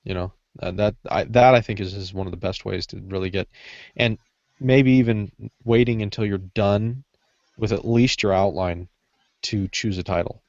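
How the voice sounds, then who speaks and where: A man chatting casually on a podcast panel, halting often and restarting as he searches for words. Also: fairly low pitch, darkish tone, no room sound, dull audio. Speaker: podcast panelist discussing writing craft. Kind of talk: casual unscripted chat.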